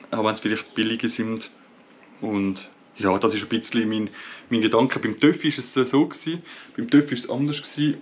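A man's voice: lowish voice, a little hiss or hum. A man in his twenties from Switzerland with a Swiss German accent, chatting casually to his camera in a small home office, rambling with many fillers and restarts. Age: 20s. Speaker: vlogger. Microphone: camera at arm's length.

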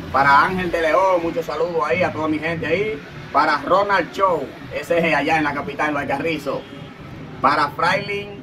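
A Dominican man in his thirties reading shout-outs to viewers off his phone, filmed outdoors by a barred window. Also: a little high, noisy background. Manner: slow listing of names, reading off a phone. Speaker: channel host.

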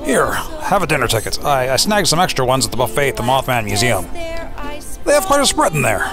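A man's voice: mid-range voice, sing-song, brisk, music in the background, natural voice. An actor playing a friendly retired man in an audio drama, casual and easygoing. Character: friendly retired male colleague named Vince.

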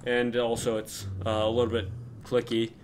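A young man chatting casually while gaming, talking in halting bits with plenty of fillers. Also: medium pitch, completely flat delivery, slight background noise. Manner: hesitant, many fillers. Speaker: channel host gamer.

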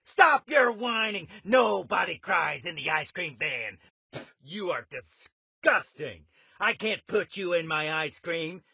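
An actor playing a sadistic, gleefully menacing ice cream man villain in a horror game, with a raspy, gravelly, taunting and theatrical delivery. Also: high-pitched, animated, slow pace, bright, forceful tone, a little hiss or hum, full character voice. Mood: furious, taunting.

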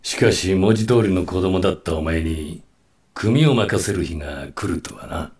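An actor playing a stern but fatherly middle-aged yakuza boss in a video game, with measured authority. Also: low-pitched, a little mellow. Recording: clear background noise.

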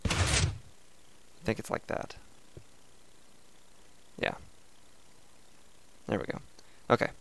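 A young man with a raspy voice, casually chatting and thinking aloud as he hosts a gameplay video. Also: slow, long pauses, a little hiss or hum, rough recording. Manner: relaxed, chatty, thinking aloud while playing. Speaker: let's play host.

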